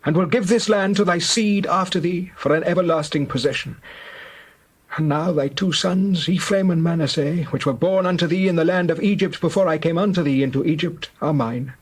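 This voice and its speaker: An actor playing an aged, dying Hebrew patriarch in a dramatized scripture reading, husky, grave and deliberate, solemn and tender. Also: male voice, a little high. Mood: solemn, reminiscing.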